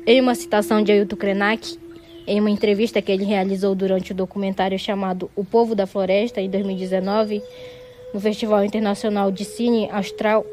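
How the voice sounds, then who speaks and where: A young woman from Pará, Brazil, reading a personal statement as a university student in a calm, measured way. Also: mid-range voice, slight background noise.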